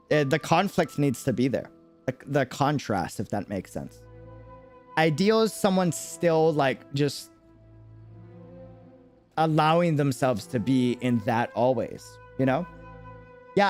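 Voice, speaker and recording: Animated, musing male game streamer. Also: on the high side. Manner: musing, halting, often seeking agreement. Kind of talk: casual live stream commentary. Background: game music.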